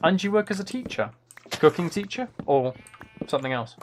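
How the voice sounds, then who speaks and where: A man's voice: on the high side, very animated, normal speed. A man chatting casually on a gaming livestream, rambling and often questioning himself as he goes. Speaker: livestream host. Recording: clear background noise, keyboard clicks.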